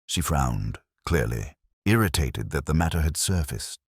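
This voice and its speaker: A man playing a brooding, weary police inspector, narrating a literary detective audio drama in measured, contemplative phrases. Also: a bass voice, big pitch swings, husky, no echo, studio quality, full character voice.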